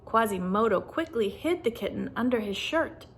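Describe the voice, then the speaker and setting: A woman reading a children's storybook aloud in a deliberate, animated storytelling manner. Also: on the high side. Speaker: storybook reader. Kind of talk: expressive children's read-aloud narration.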